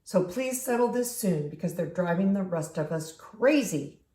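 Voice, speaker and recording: A woman voicing a contented, diplomatic storybook crayon in a formal, measured manner. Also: on the low side, expressive, dark tone, natural voice. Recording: roomy, echoing home recording.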